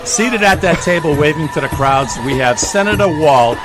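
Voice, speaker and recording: A man's voice: on the high side, expressive, normal speed, crowd noise. A man giving mock-serious comic live parade commentary as a host, describing everything like a showman. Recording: loud music behind the voice.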